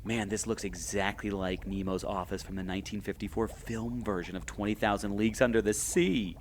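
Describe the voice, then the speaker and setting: A man's voice: lowish voice, steady tone, fairly quick, slight background noise, natural voice. A man acting as an easygoing, awestruck member of an adventuring group in an audio drama, talking casually. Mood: wondering.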